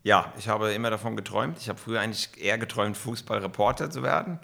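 A man's voice: fairly low pitch, fast, creaky, sharp tone. A middle-aged man from Germany, a football club executive, answering podcast questions with enthusiasm and frequent fillers.

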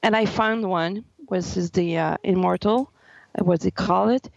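A woman's voice: on the low side, a little slow, vocal fry. A French-speaking woman from Quebec with a Québécois accent, talking as a guest author and hesitating as she searches for English words. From Quebec, Canada. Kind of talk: casual interview talk. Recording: dull lo-fi line.

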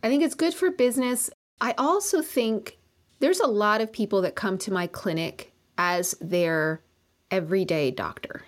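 A woman's voice: medium pitch, normal speed. A woman acupuncturist who practices in Colorado, a guest speaking earnestly in long run-on stretches in a casual professional conversation.

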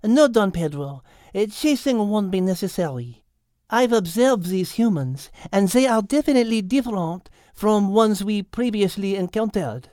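A woman voicing a thoughtful, logical female cat with a French accent, speaking in a measured, precise way in an audiobook. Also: mid-range voice, animated, mellow, no room sound, studio quality, lightly acted. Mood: calm, reassuring. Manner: measured, precise, reasoning aloud. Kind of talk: audiobook character acting.

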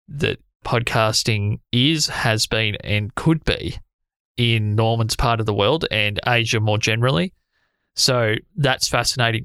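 A man's voice: lowish voice, vocal fry, fairly bright tone, clear, resonant tone, dry recording, studio quality. A man hosting a podcast, chatting enthusiastically and laughing easily as he introduces his guest. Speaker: podcast host. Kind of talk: casual podcast intro and interview.

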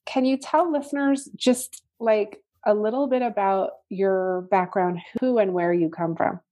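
English-raised woman host asking gentle questions. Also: medium pitch, fairly even intonation, unhurried. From England. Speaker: podcast host. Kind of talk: interviewing a guest.

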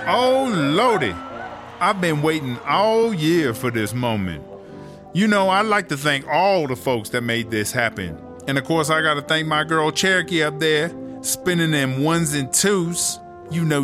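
Brash prison queen played by a man, highish pitch, slangy, sweary, emphatic, audio comedy with music behind. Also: fairly bright tone. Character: sassy, brash, foul-mouthed woman inmate and rap champion Chartreuse. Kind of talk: comedy audio drama acting.

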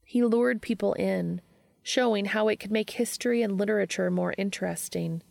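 A woman's voice: mid-range voice, moderate pace, creaky, darkish tone, dry recording. A woman narrating a fiction audiobook, reading scripted prose.

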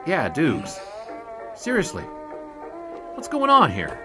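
A man's voice: fairly high pitch, huge pitch swings, slow, full character voice. A man acting as a wry 1920s American newspaper sportswriter in an audio drama, using period slang. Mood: concerned. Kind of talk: audio drama dialogue and first-person narration. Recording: music behind the voice.

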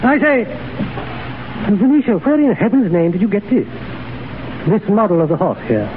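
Animated, high-pitched lord. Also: male voice, normal speed. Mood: curious, surprised. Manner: fussy, exclamatory, aristocratic. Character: excitable, well-bred English lord, a middle-aged uncle. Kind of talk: 1930s radio acting. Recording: noisy, tinny 1930s radio broadcast.

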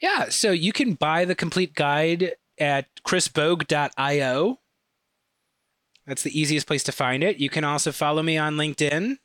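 Eager Chicago man, slightly high voice. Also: a bit bright, no echo. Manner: eager, chatty, quick bursts with fillers. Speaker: podcast guest. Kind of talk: casual conversation.

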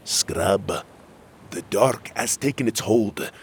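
A man's voice: fairly low pitch, slow, vocal fry, a little hiss or hum. A man voicing a stern exiled royal warrior in a fantasy story, with a grave, commanding manner. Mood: grave warning.

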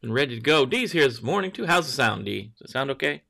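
A man's voice: medium pitch, sing-song, fast, vocal fry, sharp tone. A man hosting a casual morning livestream, greeting viewers by name and rambling loosely while correcting himself. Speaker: livestream host.

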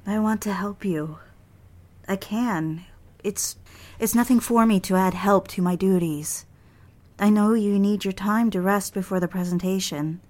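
A woman's voice: mid-range voice, unhurried, darkish tone, close to an everyday voice. An actress playing a proper, dutiful and easily flustered young secretary in an audio drama, a woman speaking hesitantly and earnestly. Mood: devoted, gentle. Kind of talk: dramatic audio fiction, intimate dialogue.

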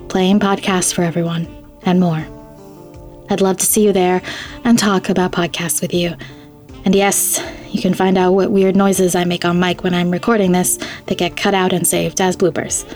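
A woman hosts an audio fiction podcast with a scripted introduction. Her voice sits on the low side and stays very even, with soft music underneath. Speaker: podcast host.

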